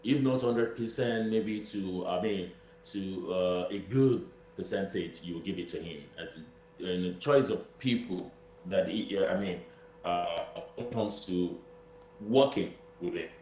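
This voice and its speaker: A man's voice: deep voice, measured pace, darkish tone, soft background music. Nigerian man commentating in an echoey room. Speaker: guest political commentator. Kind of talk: spoken political commentary in interview. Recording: roomy echo with noise and hum.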